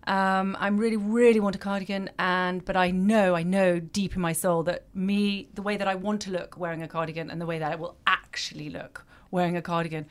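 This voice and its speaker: A woman's voice: lowish voice, little pitch movement, fairly quick, hardly any pauses. A middle-aged woman co-hosting a podcast, chatty and self-deprecating, giggling often. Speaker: podcast co-host. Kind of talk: casual chat.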